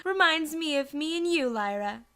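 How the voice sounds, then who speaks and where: Very high, sweet, cooing female pony by actress. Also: lively intonation, moderate pace, bright, forceful tone, heavily acted. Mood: fond amusement.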